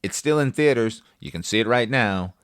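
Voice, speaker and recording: A man's voice: on the low side, lively intonation, moderate pace, smooth, no echo, studio quality. A man hosting a film review podcast, talking conversationally with wry, profane, opinionated asides.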